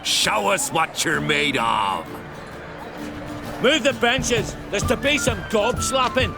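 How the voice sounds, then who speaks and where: An actor playing a brash, boisterous island jarl in a video game, blunt and rough-mouthed, with a folksy dialect. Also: male voice, high-pitched, lively intonation, slow pace, exaggerated voice. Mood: eager for brawl. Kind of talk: video game character acting. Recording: loud music underneath.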